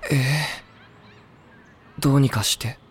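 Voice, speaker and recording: A man's voice: unhurried, natural voice. An actor playing a withdrawn, reluctant teenage boy who mumbles sullenly in a Japanese anime audio drama. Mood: sulky.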